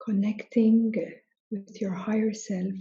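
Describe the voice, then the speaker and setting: Very slow-speaking woman guiding meditation. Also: mid-range voice, level tone, mellow, highs cut off. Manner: slow, deliberate phrases with long pauses. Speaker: spiritual teacher leading meditation. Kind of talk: guided meditation.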